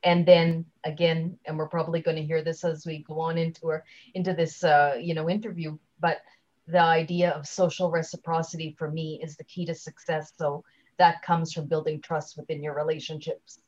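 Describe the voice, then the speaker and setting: A woman giving a conversational interview as a guest speaker, chatty and halting, often leaving sentences unfinished, on slightly dull audio. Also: deep voice, little pitch movement, darkish tone. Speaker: guest, LinkedIn trainer and speaker.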